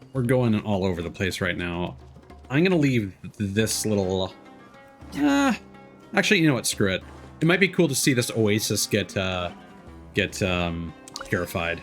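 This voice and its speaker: A man chatting casually as he hosts a game livestream, rambling and thinking aloud. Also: fairly low pitch. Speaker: streamer and host. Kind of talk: casual livestream gameplay chat.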